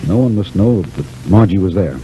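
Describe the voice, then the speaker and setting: Careful earnest guy in old radio soap, low n very mellow voice, faint hiss. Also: lively intonation, normal speed, low-quality recording. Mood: guarded, serious. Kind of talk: 1930s-40s radio soap opera acting. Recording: hissy vintage radio recording.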